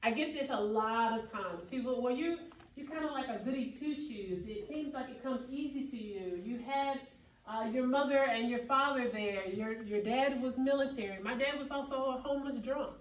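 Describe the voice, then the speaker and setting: A young adult woman delivers an emphatic keynote in a fairly high voice. Her phrasing is preacherly and builds to exclamations. She is caught by a camera far back in a large, echoey hall, with faint room noise behind her. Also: moderate pace. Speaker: keynote speaker. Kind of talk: motivational keynote speech.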